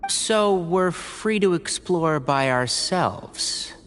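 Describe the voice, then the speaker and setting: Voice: mid-range voice, animated, extremely slow, a bit bright, soft background music. An actor playing an alert, analytical young traveller in a video game, composed and inquisitive. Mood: wary, curious. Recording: long reverb, faint background noise.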